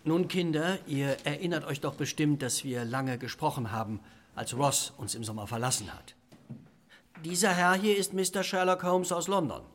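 An actor playing a mild, polite and earnest reverend schoolmaster in a radio play. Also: male voice, on the high side, moderate pace, slight background noise, close to an everyday voice. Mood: earnest, obliging. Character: small, round, mild, obliging reverend schoolmaster. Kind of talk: German radio play acting.

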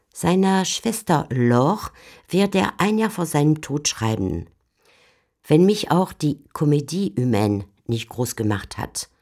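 A man's voice: mid-range voice, a little mellow. A man delivering scripted, essayistic literary commentary as a radio narrator.

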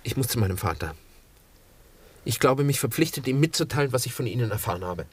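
A man's voice: medium pitch, lively intonation, quick pace, slightly rough. A young man acting in a 1960s German radio drama as a grave, resolute son with an earnest, restrained and formal manner. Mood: urgent, restrained.

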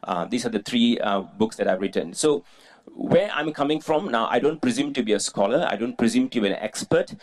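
A man's voice: medium pitch, lively intonation, brisk. A man from Singapore in his forties giving a conference talk as a mindfulness teacher, earnest and self-effacing, explaining with frequent fillers. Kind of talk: conference presentation. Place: conference room with audience.

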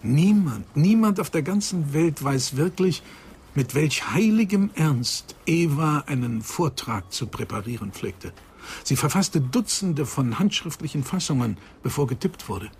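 An actor playing an earnest psychoanalyst of nearly eighty in a German radio crime drama, speaking in a measured, emphatic way. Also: male voice, on the high side, expressive, darkish tone, slightly rough, exaggerated voice. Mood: emphatic, grave. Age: older.

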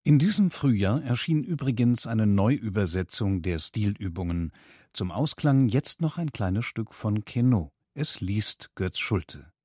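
A man's voice: lowish voice, expressive, unhurried, darkish tone, slightly rough, dry recording, studio quality. A man presenting a radio programme from a script.

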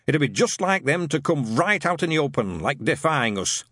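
A cantankerous old sergeant, as a reader puts him on, barks his orders and mutters dark conspiracies. His voice is husky and pushed loud. It rises and dips with bluster, recorded dry and close. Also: on the high side, sing-song, moderate pace, no room sound, pitched above the performer's own voice. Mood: barking, suspicious. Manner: barking, conspiratorial, bigoted grumbling. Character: gruff, paranoid old Scottish witchfinder sergeant. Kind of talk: audiobook character voice.

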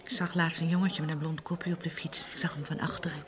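An actress playing a fragile, needy wife in a radio play, childishly insistent and pleading, with a wandering way of talking. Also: female voice, deep voice, little pitch movement, fast talker, a crowd in the background, lightly acted. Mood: wistful, hesitant. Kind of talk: Dutch radio play acting.

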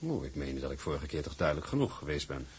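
An older male actor playing a shrewd, imperious company boss with a gravelly, husky voice and a deliberate, patronising manner in a drama. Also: very deep voice, big pitch swings, full character voice. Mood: wry, guarded.

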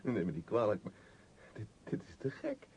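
An actor playing a polite, hesitant young architect, a guarded traveller in a radio drama. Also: male voice, wildly swooping pitch, normal speed, darkish tone. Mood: apologetic, curious. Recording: dull old radio recording, rumbling noise.

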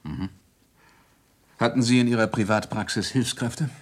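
Actor as husky, shrewd police inspector. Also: male voice, mid-range voice, measured pace, lightly acted. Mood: pensive, deliberate. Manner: probing, methodical, commanding. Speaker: actor in a radio drama. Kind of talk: German radio play acting.

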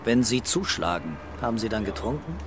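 A man acting a police commissioner in a radio crime drama. He is laconic and patient, with a medium-pitched voice and probing questions, over faint city noise. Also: sing-song, fairly quick, natural voice. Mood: calm, probing.

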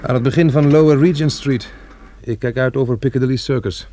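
A man's voice: mid-range voice, fairly quick, mellow, slight background noise, close to an everyday voice. An actor playing a practical husband and father surviving a catastrophe in a Dutch radio drama, with a dry, matter-of-fact way of talking. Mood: alert. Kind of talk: Dutch radio drama acting, diary narration and dialogue.

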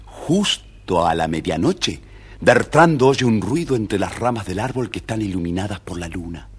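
Deepish husky guy narrating a kids storybook record, really expressive. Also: moderate pace, a little hiss or hum. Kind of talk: dramatic scripted fairy-tale narration for children.

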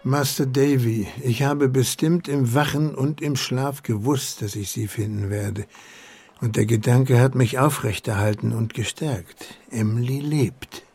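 A man's voice: medium pitch, a little slow, darkish tone, slightly rough, no echo, soft background music. An actor playing a kindly, devout older working man in a German radio drama, earnest, heartfelt and plain-spoken. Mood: earnest, troubled.

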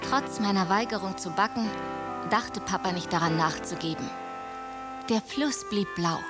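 A young woman narrating a radio drama in the first person, brisk and self-assured. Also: mid-range voice, over loud music. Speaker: narrator and heroine.

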